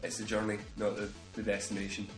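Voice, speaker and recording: A man's voice: lowish voice, monotone, quick pace. A young man with a head cold, joking dryly as co-host of a casual gaming podcast. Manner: playful, dry joking, swears. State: congested with a cold. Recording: echoey room with faint music.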